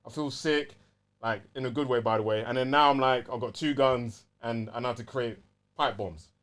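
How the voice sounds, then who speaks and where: A man's voice: medium pitch, normal speed, a bit bright. A man with a London accent co-hosting a casual game review chat, slangy and given to acting out his reactions. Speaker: podcast co-host.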